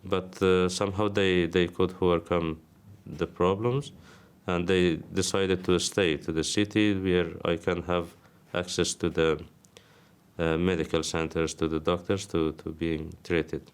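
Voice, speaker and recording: Very deep-voiced Afghan man, halting. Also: flat delivery, clean tone. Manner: halting, many pauses and fillers. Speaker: interviewee. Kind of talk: documentary testimony. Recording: music bed under the voice.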